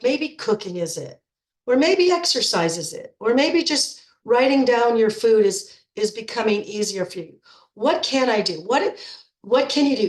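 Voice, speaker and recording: A woman's voice: fairly high pitch, reverberant. A middle-aged woman nutrition coach with a head cold, talking informally to her program members and often repeating words as she restarts. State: stuffed up with a cold.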